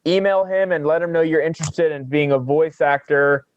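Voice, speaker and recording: Male co-host, fairly high voice, casual and teasing, talking on a video call. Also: normal speed, clean tone, lo-fi sound. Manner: joking, teasing banter. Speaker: podcast co-host. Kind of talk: casual chat.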